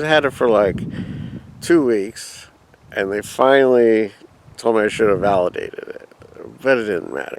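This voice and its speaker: A man's voice: on the low side, creaky. A man casually telling a rambling personal travel story, reminiscing and quoting his own past thoughts. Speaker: vlogger.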